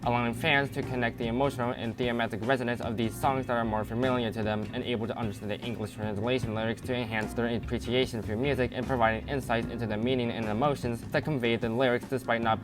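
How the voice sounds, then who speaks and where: A man's voice: medium pitch, fairly even intonation, fast talker, few pauses. A young man reading scripted commentary as the narrator of a video essay. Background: music.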